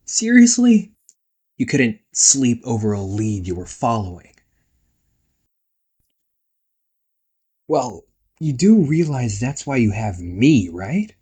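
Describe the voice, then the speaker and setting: A man's voice: mid-range voice, big pitch swings, unhurried, mellow, breathy edge. A man playing a playful, nagging young ghost brother in a casual roleplay audio drama, teasing and chatty. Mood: playful concern. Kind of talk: casual one-sided roleplay audio acting.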